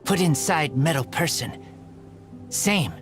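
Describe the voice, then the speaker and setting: A man voicing a terse, earnest feral teenage boy in a video game, speaking in halting, broken, clipped sentences. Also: on the high side, lively intonation, over music. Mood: puzzled, trusting. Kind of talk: game voice acting.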